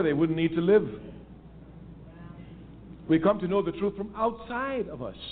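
A man giving an impassioned political talk on a call-in radio show, emphatic and repeating his key phrases for force. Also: high voice. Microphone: phone line. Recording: tinny phone line with faint hiss.

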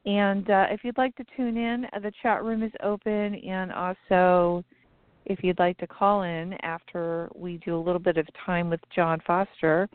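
A woman's voice: fairly low pitch, little pitch movement, normal speed, smooth, dry recording. Stuffy-voiced woman hosting over a phone line. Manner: chatty, many ums and uhs. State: stuffy, raspy voice. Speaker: radio show host. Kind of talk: casual internet radio chat. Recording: tinny phone-line sound.

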